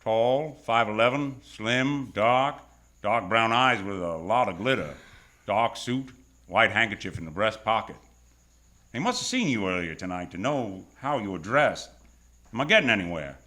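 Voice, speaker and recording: A man's voice: lowish voice, expressive, moderate pace, sharp tone. A man acting a wry, hardboiled private detective who narrates his case in old-time radio noir style on stage. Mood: probing, wry. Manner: wisecracking, tough, world-weary slang. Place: stage of live radio theatre.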